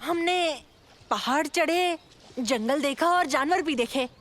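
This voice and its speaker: A woman voicing an eager, adventurous twelve-year-old boy who leads his friends in an animated comedy dub. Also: high-pitched, takes it slowly, a little hiss or hum. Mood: polite, upbeat.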